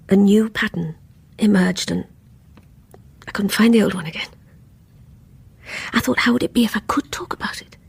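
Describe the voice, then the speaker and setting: An actress playing a guarded, troubled woman who confides hesitantly in a breathy voice in a radio drama. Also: mid-range voice. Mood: shaken. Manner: hesitant, reserved, confiding, often hushed. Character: guarded, lonely, troubled woman neighbour confiding her story. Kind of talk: radio drama monologue and dialogue.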